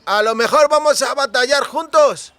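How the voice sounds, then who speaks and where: A man's voice: extremely high pitch, normal speed, bright, forceful tone, no room sound, pitched above the performer's own voice, exaggerated voice. A man voicing a drunken, boisterous, friendly young cooper with rustic, exclamatory speech for an audiobook. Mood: eager, friendly. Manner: exclamatory, colloquial rustic speech with clipped words.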